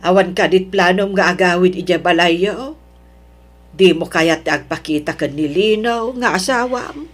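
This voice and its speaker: A woman with an Ilocano accent playing a protective older mother, fretting and gently scolding in a melodrama. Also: on the low side, measured pace. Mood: urging, comforting. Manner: fretful, motherly, exhorting. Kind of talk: Ilocano radio-style melodrama acting.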